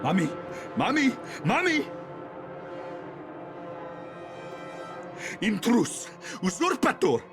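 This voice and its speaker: A man's voice: very high voice, huge pitch swings, a little slow, over music, exaggerated voice. A man voice acting a childish, bloodthirsty, simple-minded farm son in a video game. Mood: agitated, shouting. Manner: simple-minded, creepy, savouring.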